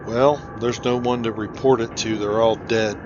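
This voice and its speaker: A man's voice: mid-range voice, steady tone, moderate pace, muffled recording, background noise. A man giving casual, conversational commentary as he hosts a video game playthrough. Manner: informal, conversational. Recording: game music behind voice. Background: game music.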